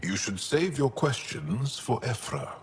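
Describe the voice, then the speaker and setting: An actor playing a solemn, weathered alien resistance officer in a video game, speaking earnestly and expressively. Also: male voice, mid-range voice, expressive, unhurried, slight background noise. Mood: grave. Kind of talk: dramatic video game dialogue. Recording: faint background ambience.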